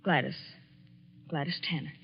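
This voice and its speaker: A woman's voice: low-pitched, moderate pace, gravelly, breathy edge, long reverb tail, exaggerated voice. An actress playing a despairing, jilted young woman in old-time radio suspense, world-weary and terse. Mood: resigned. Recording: muffled, hollow vintage radio recording.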